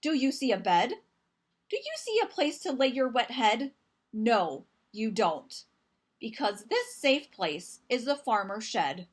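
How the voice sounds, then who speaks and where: A woman's voice: fairly high pitch, big pitch swings, slow pace, slightly rough, heavily acted. A woman voicing a tiny, reluctant male seed in a children's storybook, fretful and emphatically protesting. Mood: indignant.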